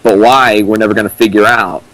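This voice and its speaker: A man's voice: fairly low pitch, steady tone, brisk, fairly bright tone, poor audio. A man giving casual, critical commentary as a co-commentator, with a dry manner.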